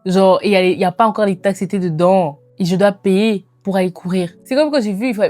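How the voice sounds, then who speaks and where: A woman in her twenties chatting casually to viewers as a lifestyle vlogger, in an animated, informal way with slangy phrasing and bits of English mixed in. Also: mid-range voice. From immigrated to Canada. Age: 20s. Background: background music.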